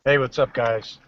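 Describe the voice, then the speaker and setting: A man's voice: medium pitch, fairly bright tone, dull audio, slight background noise. A man joining a casual live show by video call, easygoing and a little apologetic, laughing along with the hosts.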